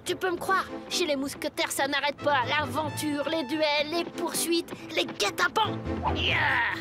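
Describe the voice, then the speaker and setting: A woman voicing an excitable, cocky young boy, a best pal with a punchy slangy way of talking, in a cartoon. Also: high voice, measured pace, music in the background. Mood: cocky, persuading. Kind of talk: French animated cartoon dubbing.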